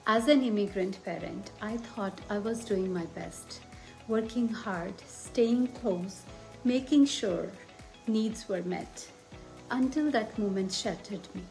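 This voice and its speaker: A woman from India giving a heartfelt, measured testimonial about family. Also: mid-range voice, gravelly, a little mellow, soft background music, low-quality recording. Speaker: former daycare teacher sharing a testimonial. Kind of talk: emotional personal testimonial.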